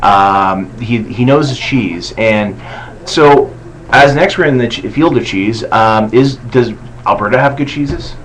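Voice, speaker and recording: A man hosting a casual campaign video chat, relaxed and self-deprecating, with lots of fillers. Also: medium pitch, unhurried, slight background noise, low-quality recording. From Canada. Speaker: host.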